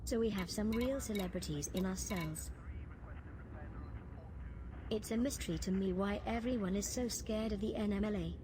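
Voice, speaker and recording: Cold, sadistic imperial prison commandant, female actress in role, clinical and menacing, sci-fi audio log with clear background noise. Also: medium pitch, close to an everyday voice. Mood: cold, smug. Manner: measured, clinical, menacing understatement. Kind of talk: science fiction audio log acting.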